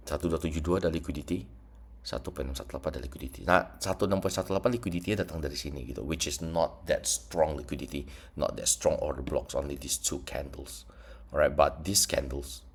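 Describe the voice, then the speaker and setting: Guy with a stuffed-up nose, super low voice, chill chart walkthrough, drops english trading words. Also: slightly rough. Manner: mixes English trading terms into casual talk. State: congested nose. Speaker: trading teacher. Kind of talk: screen-share chart tutorial.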